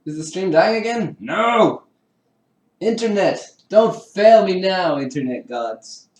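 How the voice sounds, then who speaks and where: A man's voice: sits high, lively intonation, slow pace, darkish tone, roomy sound. Guy live-streaming a build at home, chatty, jokes at himself, voice bouncing round the room. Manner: chatty, self-deprecating, laughs often, talks to viewers. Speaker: livestreamer building a 3D printer kit. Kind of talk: casual live-stream chat while assembling. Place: home room with a garage downstairs.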